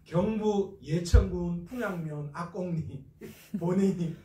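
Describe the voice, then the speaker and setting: A man's voice: high voice, slow pace, dark tone, echoey room, far from the mic, low-quality recording. A man from North Gyeongsang, South Korea, preaching an expository Bible lecture as a pastor, asking questions and answering them himself.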